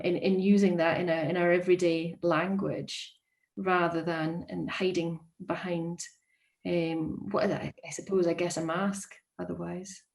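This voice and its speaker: A woman who works as a social worker, joining a webinar panel over a video call and talking in a chatty way with many ums and self-corrections. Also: on the low side.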